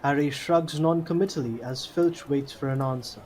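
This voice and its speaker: A man's voice: fairly high pitch, moderate pace. A man reading scripted narration for an audio drama. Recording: slightly noisy home mic.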